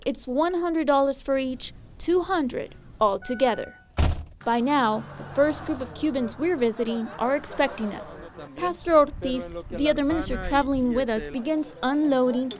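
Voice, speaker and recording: A woman television reporter reading scripted news narration. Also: sits high.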